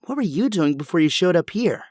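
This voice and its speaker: A brainy, earnest young male hero acted by a man in an audio drama, speaking with lively, swinging intonation. He is precise and polite about technical matters, and the sound is dry and close. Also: high-pitched, brisk, no room sound. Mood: puzzled, analytical.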